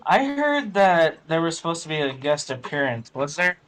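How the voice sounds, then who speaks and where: A man's voice: on the high side, sing-song, moderate pace, fairly bright tone, poor audio. A man co-hosting a podcast with blunt, combative, profane banter. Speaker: podcast co-host.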